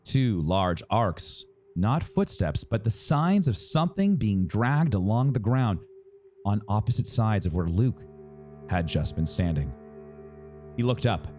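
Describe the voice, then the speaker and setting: A man reading a story aloud as a dramatic narrator, with suspenseful, deliberate phrasing and pauses. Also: fairly low pitch, a little mellow. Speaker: narrator reading a novel excerpt. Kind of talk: dramatic audiobook-style narration.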